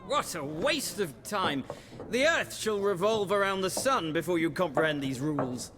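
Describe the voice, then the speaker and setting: An actor playing a pompous, self-important academic scholar in a video game. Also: male voice, high voice, animated, moderate pace. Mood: exasperated. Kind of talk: video game cinematic acting. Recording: clear background ambience noise.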